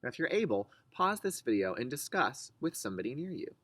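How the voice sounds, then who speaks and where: A man's voice: mid-range voice, very animated, brisk, fairly bright tone, no echo. A man teaching young children through a read-aloud lesson, explaining in simple, instructive phrases and posing questions to them. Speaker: kindergarten teacher.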